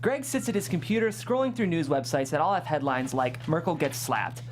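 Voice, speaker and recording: A woman's voice: sits low, animated, fairly quick, background noise. A woman hosting a script read, narrating stage directions and chatting with guests. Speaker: host and stage-direction reader.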